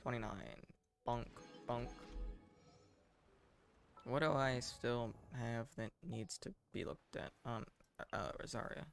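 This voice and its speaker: A man giving casual live game commentary in a deadpan, self-deprecating way, muttering asides to himself. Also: medium pitch, slow, gravelly, pauses often, soft background music. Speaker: game streamer.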